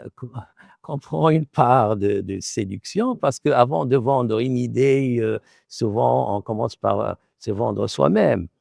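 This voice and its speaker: Gravelly Moroccan-born man, thoughtful. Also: mid-range voice, darkish tone, no room sound, clean studio sound. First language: Arabic. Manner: thoughtful, repeats words while searching for phrasing. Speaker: author and professor. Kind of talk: reflective interview answers.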